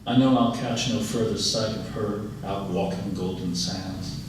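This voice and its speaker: A man with a fairly low voice reads poetry in a measured, declaimed style. He is heard from a distance in a very echoey auditorium, with clear background noise. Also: fairly even intonation. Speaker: poet reading at an event. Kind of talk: live poetry reading. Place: auditorium stage at a public reading.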